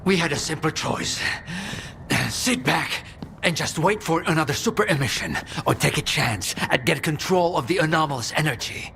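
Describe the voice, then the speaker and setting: An actor playing a composed, condescending scientist in a video game, talking in a measured, lecturing way. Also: male voice, on the high side, expressive, creaky, slight background noise, full character voice. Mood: smug. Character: composed, condescending male scientist, persuasive and self-assured. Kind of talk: video game cutscene acting. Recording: loud music under the voice.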